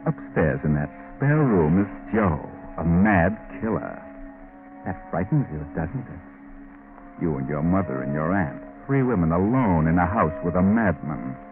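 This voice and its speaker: A sinister, very low-voiced male narrator taunts and menaces in theatrical old radio style. The sound is tinny and narrow, with music clearly playing behind him. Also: big pitch swings, moderate pace. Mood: taunting, menacing. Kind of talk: 1940s radio suspense narration. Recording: tinny band-limited 1940s radio recording.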